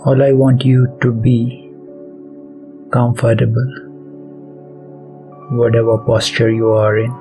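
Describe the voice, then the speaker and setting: A man leading a guided meditation as its host, speaking softly and deliberately in short phrases with pauses between them. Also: mid-range voice, completely flat delivery. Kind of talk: guided meditation, soft spoken instruction. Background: background music.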